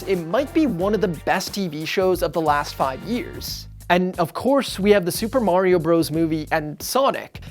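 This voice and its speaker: A video essay host reading scripted narration in an explanatory way. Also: mid-range voice.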